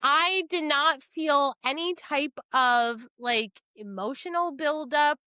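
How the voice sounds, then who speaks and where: A woman's voice: high-pitched, takes it slowly, sharp tone, no echo. A woman co-hosting a podcast, chatting casually about books in a blunt, emphatic way with some swearing. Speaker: podcast co-host. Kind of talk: casual book review chat.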